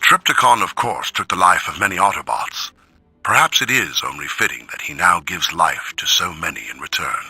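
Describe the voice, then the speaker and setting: A worried, articulate robot soldier for a video game. The voice is husky and sharp, moving widely in pitch with wry emphasis. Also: male voice, expressive, moderate pace, no echo, faint music behind, full character voice, rough recording. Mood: uneasy, reflective. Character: uneasy, articulate male robot soldier, dryly sarcastic. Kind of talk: dramatic video game audio log.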